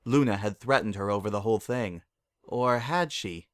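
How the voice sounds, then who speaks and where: A man's voice: on the low side, moderate pace, no room sound, studio quality. A man narrating a story as a dramatic reading.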